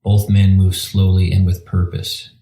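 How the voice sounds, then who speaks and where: A man's voice: low voice, monotone, measured pace, gravelly, mellow. A man reading scripted scene directions as the narrator of an audio drama, with a roomy echo.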